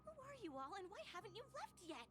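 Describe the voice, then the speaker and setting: Game role: young female surveyor, obsessive and earnest, extremely high-pitched, urgent delivery. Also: very animated, fast talker, background noise. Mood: intent, absorbed. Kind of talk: video game character acting.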